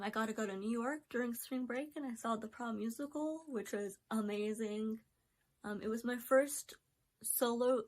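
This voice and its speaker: A woman in her twenties talking through her recent years in a casual recap, filling pauses with um and like. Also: a little high. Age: 20s. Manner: rambling, many ums and likes. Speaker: vlogger.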